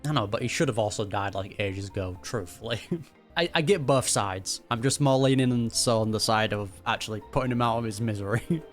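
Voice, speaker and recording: A man's voice: fairly low pitch, brisk, faint music behind. A man giving casual, slangy reaction commentary as he plays a game, musing informally as a streamer. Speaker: gaming streamer.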